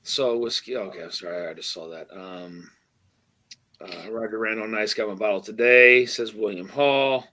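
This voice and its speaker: A man's voice: lowish voice, moderate pace, sharp tone. A man hosting a casual livestream, chatting in a rambling, hesitant way with lots of fillers and reading out viewer messages. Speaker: livestream host.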